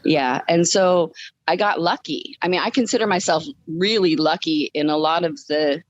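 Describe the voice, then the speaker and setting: A woman's voice: fairly low pitch, moderate pace, dry recording, dull audio. A woman guest telling her personal story in a casual, upbeat way during a podcast interview. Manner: upbeat, chuckles as she talks.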